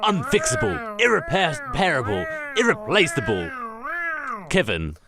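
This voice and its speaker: A man's voice: a little high, wildly swooping pitch, a little slow, over a noisy crowd. A man narrating an improvised comedy radio play in a theatrical, emphatic storytelling manner. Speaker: podcast narrator.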